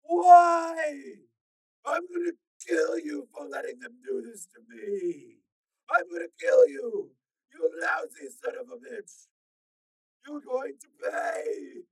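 Tortured cyborg man, gravelly, slow, half screaming half sobbing, out for revenge. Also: extremely high pitch, lively intonation, pitched above the performer's own voice, exaggerated voice, low-quality recording. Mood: vengeful rage. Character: anguished, vengeful man remade as a cyborg machine.

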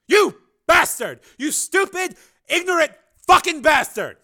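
A man voicing a desperate male project chief who speaks in a strained, halting way and bursts into furious shouts. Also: a little high, lively intonation, exaggerated voice. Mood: shouting.

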